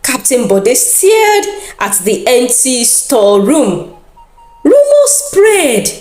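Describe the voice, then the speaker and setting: A woman narrates a folktale in a theatrical, deliberate and expressive way. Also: high voice, echoey room, soft background music. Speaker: storyteller.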